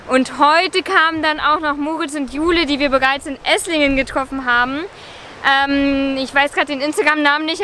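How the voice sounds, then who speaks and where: A young woman with a high voice chats casually into a camera held at arm's length, filling pauses with ums. She is vlogging outdoors by the sea, with faint wind noise behind her. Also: pushed and bright. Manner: chatty, with frequent ums. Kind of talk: casual travel vlog chat. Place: outdoors by a beach, later inside a van.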